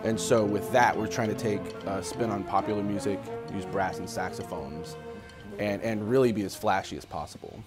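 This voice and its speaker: A man's voice: on the low side, normal speed. A man answering interview questions as a marching band director. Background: faint music.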